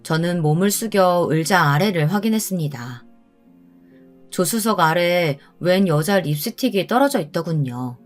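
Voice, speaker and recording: Low-pitched woman narrating a story over music. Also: animated, moderate pace. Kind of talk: scripted first-person story narration.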